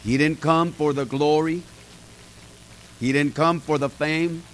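Folksy preaching man, slightly high voice. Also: fairly even intonation, takes it slowly, a bit bright, slight background noise. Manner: folksy, emphatic, talks to the congregation directly. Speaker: pastor. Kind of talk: informal sermon to a small group.

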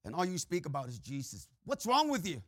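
High, bright-voiced Mexican-Texan preacher. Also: male voice, huge pitch swings, a little fast, no room sound, clean studio sound. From Mexico and Texas. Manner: frequent "uh" and "amen" fillers, swings between loud preaching and quiet asides. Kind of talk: sermon.

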